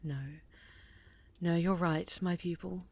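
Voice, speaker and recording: A woman playing a stern, highly strung witch leader in a fantasy ASMR roleplay, speaking formally and with command to a pupil. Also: little pitch movement, slow pace, darkish tone. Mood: grave, resolute.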